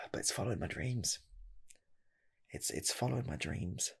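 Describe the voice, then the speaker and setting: A man playing an earnest rocket scientist applying for a fast food job, in a soft, half-whispered ASMR roleplay voice that rambles and restarts. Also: deep voice, animated, vocal fry, slightly rough, full character voice. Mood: torn. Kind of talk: ASMR personal attention roleplay.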